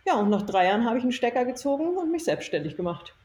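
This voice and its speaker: A woman with a fairly high yet mellow voice talks as an interview guest. She is enthusiastic and emphatic in her conversational storytelling. The room is noticeably echoey. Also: big pitch swings, fast talker. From Germany. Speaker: interview guest, self-employed former marketing executive. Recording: echoey room, faint noise.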